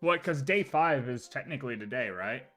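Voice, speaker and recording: A man's voice: mid-range voice, lively intonation, moderate pace, a bit bright, faint music behind. A man livestreaming a video game, chatting casually and thinking aloud in slangy, offhand asides.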